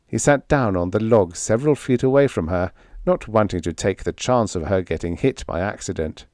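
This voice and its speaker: A man reading a scripted fiction audiobook as narrator, in a measured, deliberate storytelling manner. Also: lowish voice, expressive, darkish tone, no echo, studio quality.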